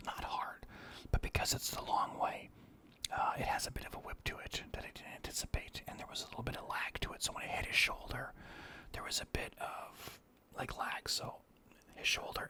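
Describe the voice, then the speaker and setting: A man telling rambling personal anecdotes in a soft ASMR whisper. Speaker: storyteller.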